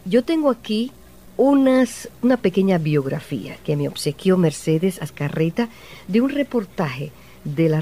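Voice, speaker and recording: A woman's voice: medium pitch, warm tone. A woman hosting a radio program, narrating a group's history and interviewing a guest with open enthusiasm and admiration. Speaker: radio show host.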